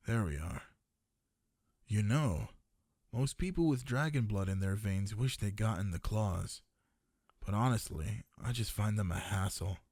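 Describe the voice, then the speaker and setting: Low-voiced flirty dragon librarian, acted by a man. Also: darkish tone, no room sound, clean studio sound. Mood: flirty, attentive, then chatty, mildly grumbling.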